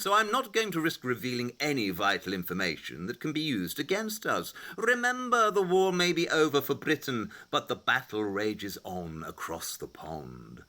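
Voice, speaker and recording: Superior English detective for audio drama: crisp, sardonic, forceful, wildly varied pitch. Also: male voice. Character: brilliant, condescending English detective, brisk and sardonic.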